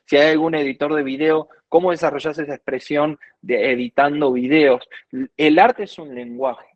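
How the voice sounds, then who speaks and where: A man's voice: on the high side, fairly even intonation, moderate pace, no room sound, dull audio. A man from Argentina with an Argentine accent, a guest worship minister teaching earnestly in conversation and quoting scripture at length. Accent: Argentine Spanish.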